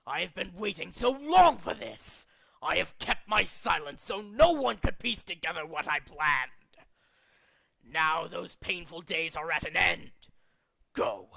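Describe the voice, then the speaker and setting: A megalomaniac male cult leader delivering a theatrical video game monologue. The voice is high-pitched for a man, sharp and forceful, recorded close and dry. It is pompous and contemptuous, shifting between hushed scheming and menacing rants. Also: expressive, unhurried, creaky, dry recording, heavily acted, poor audio. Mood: gloating.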